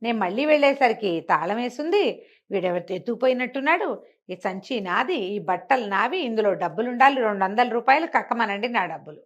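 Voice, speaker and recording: A woman's voice: a little high, lively intonation, a little fast, dull audio, natural voice. A woman storyteller voicing an indignant man who accuses and demands, repeating his claims insistently. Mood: indignant accusation.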